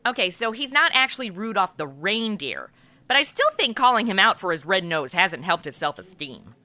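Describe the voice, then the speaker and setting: A woman's voice: medium pitch, big pitch swings, normal speed, sharp tone, no echo. A woman playing a sarcastic, exasperated young superhero sidekick in a comedic radio play, with snarky, slangy, exclamatory delivery. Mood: grumbling. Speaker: regular lead of comedy podcast.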